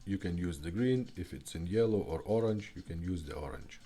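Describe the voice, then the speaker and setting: A man's voice: low-pitched, animated, fairly quick, warm tone. A man who speaks as a gives a casual, informal product demonstration with hesitant phrasing. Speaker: car radio restorer presenting a product.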